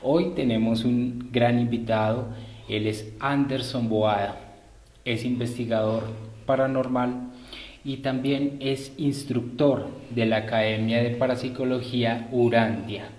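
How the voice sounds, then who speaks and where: Male live-stream host, unhurried and polite, improvising his announcements, recorded in a roomy, echoing space. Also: mid-range voice, steady tone, a little mellow. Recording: roomy echo.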